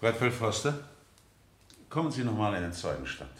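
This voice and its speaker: Deep-voiced, probing defense lawyer. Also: male voice, big pitch swings, a little hiss or hum. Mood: probing, measured. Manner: pressing, deliberate, rhetorical. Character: insistent, probing male defense lawyer questioning witnesses. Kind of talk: 1970 German radio play acting.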